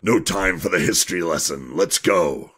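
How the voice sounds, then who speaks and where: A man playing a gruff, impatient man of action in an audio drama. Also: sits low, normal speed, a bit bright. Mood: grumbling, impatient.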